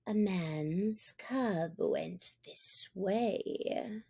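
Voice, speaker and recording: A woman voicing a haughty, furious male tiger in a storybook, with an imperious, drawn-out, threatening delivery. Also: mid-range voice, creaky, mellow, slightly rough, full character voice. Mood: menacing, angry. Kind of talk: storybook narration character voice.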